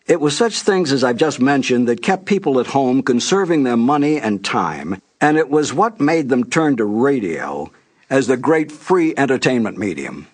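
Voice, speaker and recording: An older man hosting with a scripted, nostalgic introduction, telling it in a measured way with deliberate emphasis. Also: mid-range voice, big pitch swings. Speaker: announcer and host.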